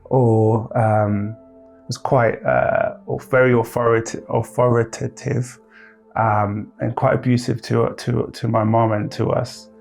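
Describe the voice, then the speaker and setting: A man's voice: mid-range voice, flat delivery, takes it slowly, vocal fry, darkish tone, soft background music. A man reflecting hesitantly on his upbringing, with many fillers and restarts. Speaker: discussion participant. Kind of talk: reflective personal testimony.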